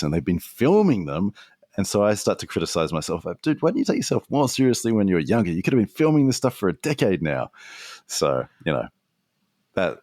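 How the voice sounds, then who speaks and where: A podcaster man with a deep voice, sitting low at the very bottom of the male range. He muses aloud in a relaxed, wandering way, trailing off and restarting. The sound is close and completely dry. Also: dry recording. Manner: thoughtful and rambling, many fillers and restarts. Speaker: podcast guest, podcaster and former radio host. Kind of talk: casual reflective conversation.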